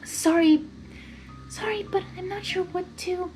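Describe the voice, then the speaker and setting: A woman voicing a shy, gentle female pegasus pony with a soft, hesitant way of speaking. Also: very high-pitched, fairly even intonation, measured pace, slight background noise, voice pushed up. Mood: timid, nervous.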